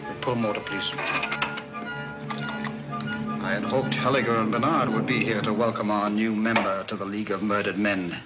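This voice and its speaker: An actor playing a cold, composed criminal professor with a formal, measured, menacing manner in a 1940s film serial. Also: male voice, lowish voice, steady tone, close to an everyday voice, low-quality recording. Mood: coldly composed. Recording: old 1940s film soundtrack with music.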